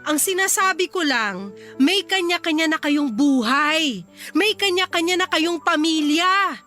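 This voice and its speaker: A woman playing an expressive young wife and mother in a radio drama, emphatic and full of pointed questions. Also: very high voice, measured pace, heavily acted. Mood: exasperated, reproachful. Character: exasperated young wife and mother, teasing then reproachful. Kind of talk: radio drama acting in dialogue. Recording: music under the voice. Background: background music.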